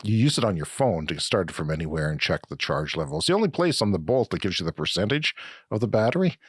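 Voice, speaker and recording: A middle-aged man with a flu-raspy voice, co-hosting a casual podcast chat and rambling through explanations. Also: on the low side, big pitch swings, fast, dry recording, clean studio sound. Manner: rambling, self-correcting explanations. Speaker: podcast co-host.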